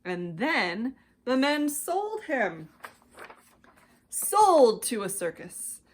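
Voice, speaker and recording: Slow, animated American woman reading a storybook. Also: a little high, slightly rough. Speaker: children's ministry director reading stories. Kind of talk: expressive children's storybook narration.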